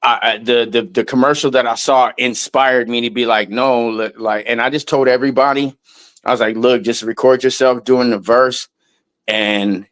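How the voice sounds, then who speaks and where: A man's voice: medium pitch, steady tone, moderate pace, a bit bright. A man in a casual interview, talking in a loose, rambling way with lots of restarts and some swearing. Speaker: guest musician.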